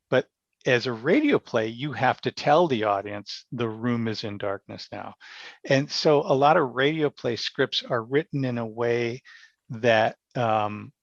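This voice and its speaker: Gravelly man, muffled roomy recording. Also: medium pitch. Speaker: guest, radio theatre director. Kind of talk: casual interview storytelling. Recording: dull, muffled lo-fi roomy sound.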